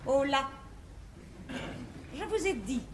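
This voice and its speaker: An actress playing an imperious, learning-obsessed bourgeois matriarch in a classical French verse stage comedy, grand and declamatory. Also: female voice, high voice, sing-song, takes it slowly, full character voice. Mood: commanding. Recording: echoey 1950s theatre recording, faint noise.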